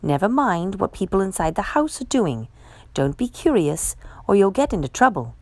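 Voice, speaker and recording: A woman reading the part of a brisk, bossy male elevator operator giving firm instructions in a children's storybook. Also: mid-range voice, big pitch swings, a little mellow, dry recording. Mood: firm, instructing.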